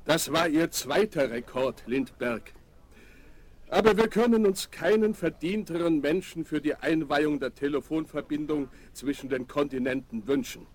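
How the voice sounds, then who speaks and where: An actor playing a courteous, formal official in a German children's radio play. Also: male voice, fairly high pitch, expressive, moderate pace. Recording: faintly noisy vinyl record transfer.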